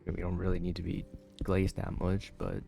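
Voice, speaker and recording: A man giving casual, halting and skeptical commentary as he plays a video game. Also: deep voice, monotone, gravelly, warm tone. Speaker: gaming YouTuber.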